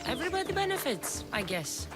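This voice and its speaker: An actress with a Greek accent playing a tough, wry young mercenary in a video game, assertive in manner. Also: female voice, high-pitched, very animated, a little fast. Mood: wry, confident. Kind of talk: video game character dialogue. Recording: background music under the voice.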